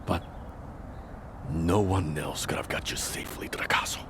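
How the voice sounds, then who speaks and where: An actor playing a proud, wounded samurai general burdened by guilt, speaking earnestly and dramatically in a video game cutscene. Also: male voice, low voice, steady tone, normal speed, close to an everyday voice. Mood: bitter, confessional. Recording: clear background noise.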